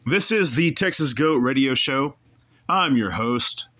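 Male podcast host, opinionated rambler, very lively pitch. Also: unhurried. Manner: rambling, opinionated, self-correcting. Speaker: podcast host reacting to a clip. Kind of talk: casual commentary.

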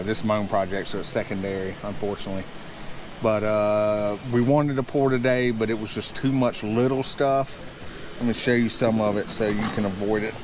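A man in his thirties casually narrating a walkthrough as a builder, in an easygoing, folksy and somewhat hesitant way. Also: lowish voice. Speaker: builder hosting a build vlog. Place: outdoor construction site. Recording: outdoor site noise, faint vehicle sounds.

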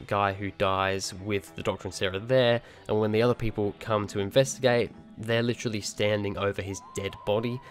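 A young man giving a chatty, rambling spoken review, his voice a little nasal as he recovers from being sick. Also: lowish voice. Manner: chatty, rambling, many ums and restarts. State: recovering from illness, slightly nasal. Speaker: video reviewer.

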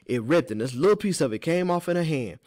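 A man voicing a kindly older enslaved woman, a wise herbalist telling things in a gentle, folksy way for a storybook reading. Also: a little high, expressive, quick pace, voice pushed up, natural voice. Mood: tender, wistful.

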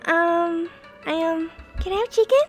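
Tiny, very high-pitched little girl. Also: measured pace, faint music behind. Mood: eager, hesitant. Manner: hesitant, childlike, eager. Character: innocent, cheerful very young little girl. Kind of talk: cartoon comic dub.

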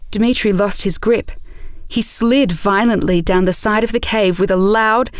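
A woman's voice: mid-range voice, moderate pace, no echo, studio quality. A woman narrator reading a scripted audio drama story.

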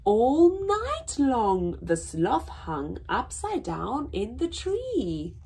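A young woman hosting a children's story time, reading aloud in an animated, deliberate way with drawn-out emphasis. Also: a little high, husky. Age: young adult. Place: small indoor room with painted backdrop.

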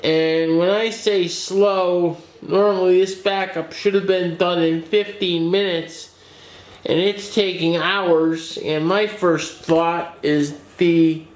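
A man's voice: sits high, echoey room. A man who runs a computer shop, giving a casual update on repairs in his workshop, explaining things plainly with small restarts. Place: small office workshop with desk, indoors.

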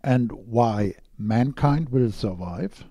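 A man's voice: mid-range voice, lively intonation, takes it slowly, warm tone, no echo, studio quality. A man giving a formal, courteous scripted introduction as a podcast interviewer.

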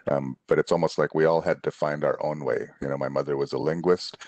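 A middle-aged man from Indonesia, an author on a video call, answering children's questions in a relaxed, rambling way with many restarts. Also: extremely low pitch, no room sound. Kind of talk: casual conversational answers. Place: room at home, on a video call. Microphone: headset.

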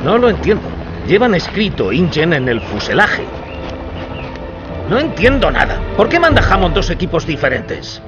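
An actor playing an agitated expedition member in an adventure game, speaking emphatically. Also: male voice, high voice, wildly swooping pitch, normal speed, muffled recording, music in the background. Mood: baffled.

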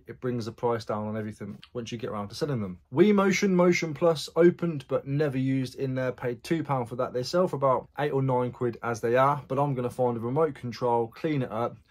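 A man's voice: mid-range voice, slight background noise. A man in his forties vlogging casually about his buys, chatty and frank about prices, in a small block-walled garage room. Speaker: reseller vlogger. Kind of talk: casual vlog chat.

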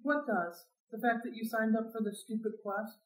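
A woman reading the lines of a skeptical teenage boy hero, brisk and questioning, recorded in a roomy space from a distance. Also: a little high, lightly acted. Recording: roomy sound, mic at a distance.

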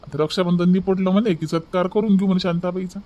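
A woman's voice: fairly low pitch, fairly even intonation, fast, warm tone, voice pushed down, natural voice. A woman voicing a mild, resigned village man in a cartoon.

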